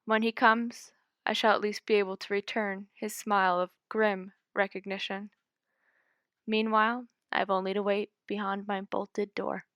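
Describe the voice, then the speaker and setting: Bright, smooth woman narrating a story. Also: medium pitch, level tone, no echo, clean studio sound. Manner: measured, dramatic reading. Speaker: audiobook reader.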